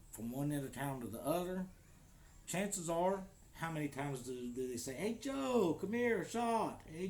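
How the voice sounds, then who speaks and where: A man's voice: on the high side, a little mellow, slight background noise. An American man in casual vlog talk to the camera, easygoing and joking, recorded at his home in the Philippines. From United States. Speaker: vlogger.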